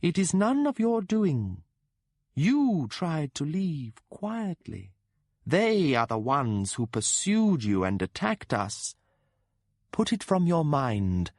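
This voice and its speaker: High-pitched possessive dead woman, put on by a man, slow hushed menace, dry close sound. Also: sing-song, no room sound, full character voice. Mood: cold insistent. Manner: soothing yet ruthless, slow and deliberate. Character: possessive, coldly loving dead woman spirit.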